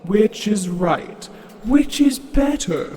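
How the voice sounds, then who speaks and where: A woman playing a solemn, measured Fate of myth in an audio drama, portentous and deliberate. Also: medium pitch, lively intonation, mellow. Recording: long echoing hall reverb.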